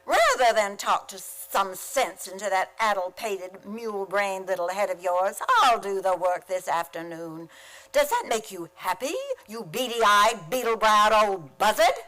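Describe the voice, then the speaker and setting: A woman playing a sharp-tongued, sardonic schoolteacher in an old-time radio comedy, theatrical and sarcastic. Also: medium pitch, a little slow, poor audio. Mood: scathing. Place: theater stage, radio play performance.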